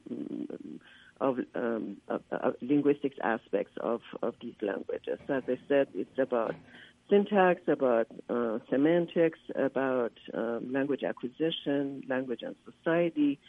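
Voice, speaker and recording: A man whose first language is Persian, a linguist giving a radio interview by phone, often pausing to search for words. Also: medium pitch, no room sound. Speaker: linguist and conference organizer, interview guest. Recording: tinny, dry phone line.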